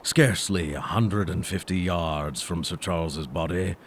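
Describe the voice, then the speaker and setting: An earnest, fearful doctor played by a man speaks in a fairly low voice. The delivery is solemn and halting, with theatrical weight fit for a comic mystery drama. Clear ambient noise sits behind the voice. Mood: grave, dramatic. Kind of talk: comedic audio drama acting.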